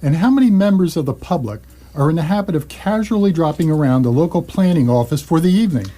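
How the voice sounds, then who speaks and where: A man's voice: on the high side, lively intonation, fast talker, warm tone. A man playing an indignant, stubborn and sarcastic homeowner in a comic radio play, with a dry delivery.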